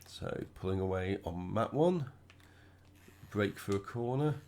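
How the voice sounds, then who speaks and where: A man with a cold, sounding croaky and stuffed up, chatting casually through his own racing gameplay. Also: on the low side, a little slow, slight background noise. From United Kingdom. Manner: relaxed, chatty, thinking aloud while driving. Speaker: gaming channel host.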